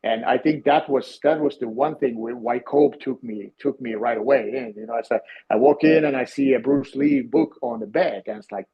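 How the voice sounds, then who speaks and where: A middle-aged man with a Finnish accent, a podcast guest who tells stories in a halting, restarting way. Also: medium pitch. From Finland. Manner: halting, many restarts and fillers. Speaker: guest, former team physiotherapist. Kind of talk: conversational storytelling. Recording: dull, lo-fi sound.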